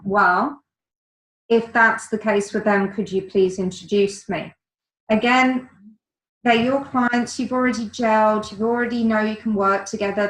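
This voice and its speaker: Woman guest in an echoey room. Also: medium pitch. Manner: measured, orderly, with fillers. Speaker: guest, virtual assistant. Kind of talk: conversational interview answers.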